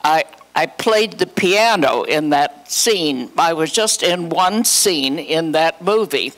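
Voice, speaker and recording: Old lady in her 80s telling stories to a room, slow and chatty, handheld mic. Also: deep voice, animated. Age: 80s or older. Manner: chatty, anecdotal, acts out quoted dialogue. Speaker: guest speaker, former child actress. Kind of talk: reminiscing to a live audience. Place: hotel meeting room, indoors. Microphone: handheld microphone on PA.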